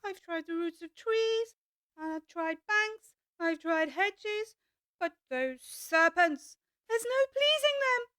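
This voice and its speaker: A frantic, indignant mother pigeon from an audiobook, fretting and accusing in an extremely high, shrill voice. The sound is close and dry, with no room around it. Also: animated, takes it slowly, pushed and bright, no echo, heavily acted. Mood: aggrieved, fretful. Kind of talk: full-cast audiobook acting.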